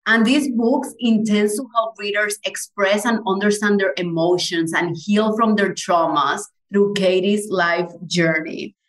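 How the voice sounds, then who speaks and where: A woman's voice: medium pitch, unhurried, hardly any pauses, low-quality recording. Enthusiastic woman hosting a podcast. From Cuba. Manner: enthusiastic, effusive. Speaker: podcast host. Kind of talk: podcast interview.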